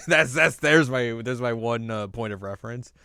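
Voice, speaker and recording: Guy cohosting a podcast, smooth clear voice, casual and a bit wry, no room echo. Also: mid-range voice, sharp tone, studio quality. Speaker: podcast co-host. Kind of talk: casual chat ranking video game movies.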